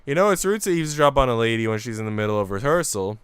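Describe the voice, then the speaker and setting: A man reads as a haughty young lady of the house in a visual novel. She is curt, sullen and scornful toward servants, with crisp, clean delivery in a dry, close sound. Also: medium pitch, big pitch swings, rapid-fire, a bit bright, no echo. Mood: stern, annoyed. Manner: curt, imperious, dismissive.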